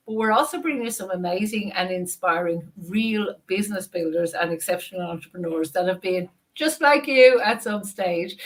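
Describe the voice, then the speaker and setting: An Irish woman hosting a business interview, effusive and upbeat as she talks to her audience and guest. Her voice sits at a middle pitch. It comes through a video call. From Ireland. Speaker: host. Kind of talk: interview host introduction.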